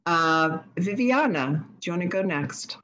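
A woman moderates a panel on a video call. She speaks slowly in a lower voice, with short encouraging prompts. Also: animated, fairly bright tone, lo-fi sound.

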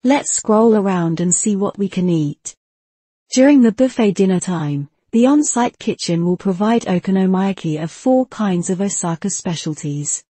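A woman narrates a travel video in a steady, informative way. Her voice is mellow and slightly husky. The recording is close and dry. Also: mid-range voice, normal speed, no echo. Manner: even, informative reading tone. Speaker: travel vlogger.

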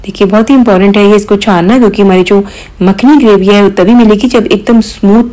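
A woman hosting a cooking channel, calmly explaining each step of a recipe in voice-over, with occasional small hesitations. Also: medium pitch, fast talker, low-quality recording. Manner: calm step-by-step instruction with mild hesitations.

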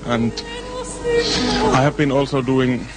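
A baritone singer from Finland, introducing himself plainly and at ease. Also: male voice, flat delivery, slow pace. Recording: loud music behind.

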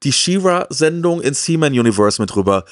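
A man's voice: fairly high pitch, very animated, brisk, no echo, clean studio sound. A man, hosting a casual, enthusiastic fan chat and repeating words for emphasis. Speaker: host of a retro TV talk show. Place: small indoor room with shelves of media. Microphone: handheld microphone.

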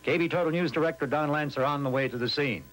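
A man's voice: on the high side, fast, a bit bright, low-quality recording. A man announcing a news bulletin in the style of 1960s radio.

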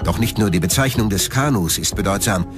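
A man's voice: lowish voice, brisk. A man reading scripted documentary narration. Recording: music behind the voice. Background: background music.

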